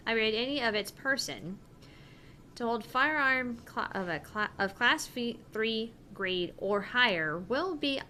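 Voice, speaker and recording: A woman's voice: fairly high pitch, expressive, slow, bright, forceful tone, a little hiss or hum, heavily acted. A woman voicing an earnest, precise young woman officer in a formal, matter-of-fact visual novel reading. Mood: earnest, official.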